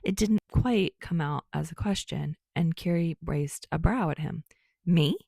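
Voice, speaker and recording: Creaky, low-voiced woman narrating. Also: a little slow, mellow, no room sound, clean studio sound. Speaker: fan fiction narrator. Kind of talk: scripted story narration.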